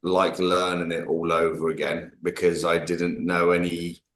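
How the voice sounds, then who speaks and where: A man's voice: sits low, flat delivery, a little slow, a bit bright. A man in his late twenties with an English accent, chatting casually and self-deprecatingly as a podcast guest. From Greece. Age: 20s. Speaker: guest, gym owner. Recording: echoey room.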